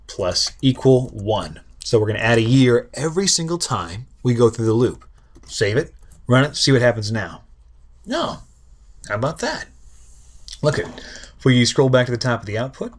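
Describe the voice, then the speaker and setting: A man in his twenties hosting a programming lesson, explaining code in a casual, joking, self-deprecating way. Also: medium pitch. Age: 20s. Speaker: programming tutorial host. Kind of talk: screen-recorded coding lesson.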